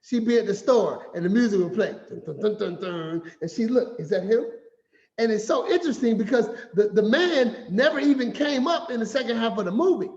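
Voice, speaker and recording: High-voiced man telling a playful story. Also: brisk. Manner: animated storyteller, acts out quotes, playful asides. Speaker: speaker giving a finance talk. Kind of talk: storytelling motivational talk. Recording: echoey room, slightly dull sound.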